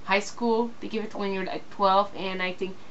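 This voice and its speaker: A young woman from Belgium speaks English as a second language, pausing often with fillers and restarting her sentences. Her voice sits at a middle pitch and comes through slightly dull, lo-fi webcam audio with a faint hiss behind it. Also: fairly even intonation, a bit bright. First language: not English. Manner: hesitant, many fillers and restarts. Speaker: vlogger. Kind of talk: casual video response.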